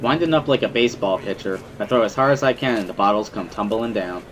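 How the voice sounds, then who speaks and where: A man reading first-person visual novel narration in a dramatic, expressive storytelling manner. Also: on the low side, quick pace, over music.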